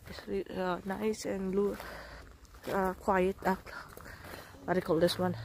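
A woman's voice: medium pitch, slight background noise. A woman from the Philippines, feeling sick, chatting casually on a travel vlog, hunting for words and mixing languages. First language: Tagalog. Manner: chatty, switches between English, Tagalog and Swedish, searches for words. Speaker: vlogger. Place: outdoors at a rural estate.

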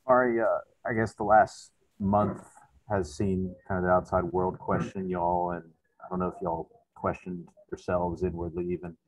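A man's voice: low-pitched, little pitch movement, moderate pace, no echo, rough recording. A man, a sports reporter, asking a long, meandering question over a video call. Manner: meandering, thoughtful phrasing.

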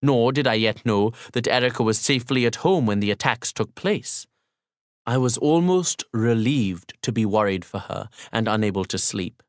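Man voicing a courteous, measured young Pakistani narrator. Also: mid-range voice, animated, dry recording, studio quality, lightly acted. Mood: reflective, confessional. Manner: formal, polite, measured, deliberate pauses. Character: courteous, confessional young Pakistani man telling his story. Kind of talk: first-person audiobook narration as dramatic monologue.

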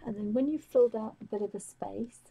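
A woman talks through her colouring step by step in a soft, musing way, her words often fading to a whisper. Her voice is mellow and husky, a little croaky from a chest infection. She sounds like an art tutor streaming a live lesson. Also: fairly high pitch, fairly quick, no room sound, rough recording.

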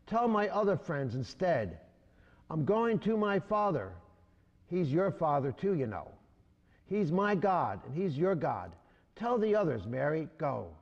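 A man voicing a gentle, loving male teacher in a rhyming storybook read aloud. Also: fairly high pitch, very animated, unhurried, big-room sound, highs cut off, exaggerated voice.

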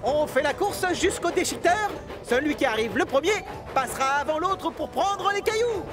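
An actor voicing a grumpy, competitive little cartoon locomotive, indignant and excitable. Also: male voice, extremely high pitch, normal speed, loud music behind the voice. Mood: challenging.

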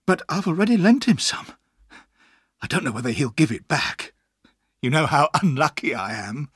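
A male narrator acts a meek, kindly young man from a storybook. He is earnest and mild, with a lightly raised, lively pitch and a slightly husky edge. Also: moderate pace, no echo, clean studio sound, exaggerated voice. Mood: uneasy, apologetic. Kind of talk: audiobook character acting.

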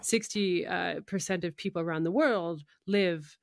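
A woman's voice: lowish voice, unhurried, gravelly, no echo. Woman radio interviewer, close dry sound. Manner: admiring, reflective, recapping the guest's points.

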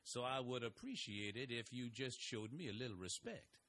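A man's voice: mid-range voice, lively intonation, normal speed, fairly bright tone, slight background noise. An actor playing a folksy, wry older male investigator who narrates his story. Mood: earnest. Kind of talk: audio drama narration and acting.

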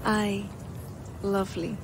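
An actress voicing a young, regretful former druid woman, speaking softly and reflectively in a game cutscene. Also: mid-range voice, little pitch movement, slow pace, gravelly, music in the background, low-quality recording. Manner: soft, confiding, reflective. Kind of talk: video game cutscene acting.

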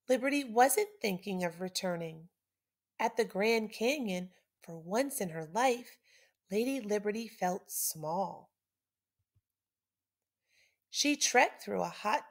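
A middle-aged woman reads a children's storybook aloud in an expressive, swooping voice. She takes her time, stressing words dramatically, with some creak in her voice. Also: medium pitch. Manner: clear, deliberate storytelling with dramatic emphasis. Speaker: teacher reading aloud.

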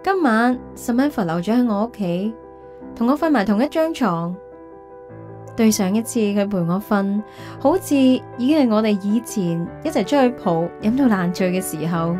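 A woman's voice: mid-range voice, lively intonation, moderate pace, mellow, natural voice. An actress playing a reflective, wistful single career woman in a radio drama, with a Hong Kong Cantonese accent. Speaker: lead actress and narrator. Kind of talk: radio drama narration and dialogue. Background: background music.

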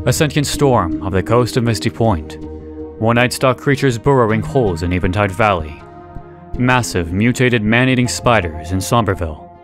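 Man narrating over background music. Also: fairly low pitch. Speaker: video essay narrator. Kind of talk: scripted narration, horror series analysis.

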